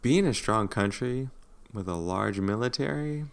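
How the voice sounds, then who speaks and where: Male co-host, deep low voice, loose and opinionated chat. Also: animated, a little slow, creaky, clean tone. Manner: rambling, opinionated, many restarts. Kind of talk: casual chat.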